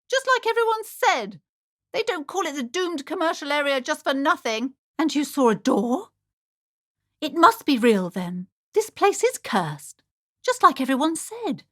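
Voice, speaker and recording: An actress playing an anxious, superstitious shopkeeper in a video game, speaking in a theatrical, formal and ominous way. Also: female voice, high-pitched, a bit bright, exaggerated voice. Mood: accusing, despairing, then wary, indignant. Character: anxious, superstitious shopkeeper woman, dramatic and doom-laden. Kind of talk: video game character dialogue.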